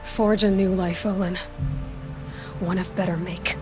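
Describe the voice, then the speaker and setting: A woman's voice: on the low side, level tone, measured pace, a little mellow, soft background music, close to an everyday voice. An actress playing a resolute young female hunter in a video game, speaking firmly and directly. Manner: firm, direct, composed.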